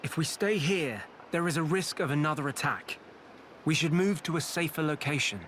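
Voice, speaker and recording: An actor playing an earnest, thoughtful young adventurer in a video game cutscene. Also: male voice, on the high side, moderate pace, fairly bright tone, a little hiss or hum, close to an everyday voice. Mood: earnest, reasoning.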